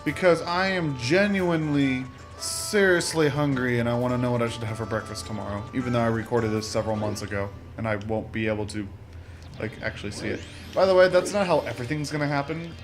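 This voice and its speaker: A man's voice: mid-range voice, fairly quick. A man hosting a let's play with casual, rambling gameplay commentary, often interrupting himself with joking asides. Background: video game music.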